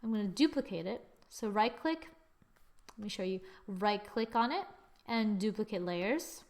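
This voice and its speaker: A woman hosting a screen-recorded software tutorial, chatting as she works and correcting herself with little exclamations. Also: medium pitch, animated, normal speed. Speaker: tutorial host.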